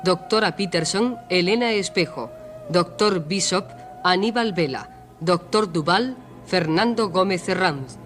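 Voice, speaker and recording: High-voiced male credits announcer. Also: a little slow, a bit bright, soft background music. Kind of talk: scripted credits reading.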